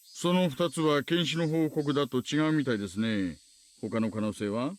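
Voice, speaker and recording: An actor playing a sly, easygoing middle-aged police detective in a Japanese visual novel, casually polite and probing with a wry edge. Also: male voice, medium pitch, quick pace, close to an everyday voice.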